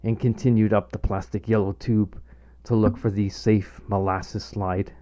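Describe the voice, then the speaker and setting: A man's voice: on the low side, flat delivery, unhurried, mellow. A middle-aged man reading his own scripted humorous short story aloud as narrator. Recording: dull, lo-fi home recording.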